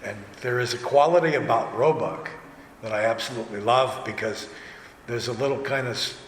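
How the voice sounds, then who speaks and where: A man talks casually on a discussion panel, drifting into asides as he goes. His medium-pitched voice rings around an echoey hall, with a light bed of room noise. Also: fairly quick. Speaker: director and panelist. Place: convention panel room, indoors.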